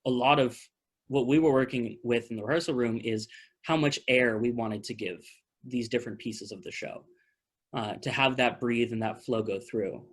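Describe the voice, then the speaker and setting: A man's voice: medium pitch, normal speed. A man with a mid-range voice hosts a casual question session on a video call. He talks like a theater director addressing cast and audience, conversational and working out his thoughts as he goes. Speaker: theater director hosting a post-show talkback. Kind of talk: casual question-and-answer hosting.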